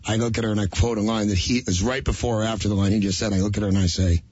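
A man's voice: lowish voice, fairly even intonation, rapid-fire, a little mellow, husky, no echo. A man co-hosting a comedy radio show, cracking jokes and telling stories. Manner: joking, wisecracking asides. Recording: dull lo-fi radio broadcast.

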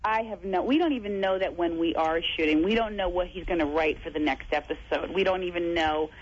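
A woman's voice: fairly low pitch, a little fast, muffled recording, a little hiss or hum. A woman actress chatting as a guest in a casual interview, full of fillers like "you know" and "like.". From first-generation American, family from Latvia.

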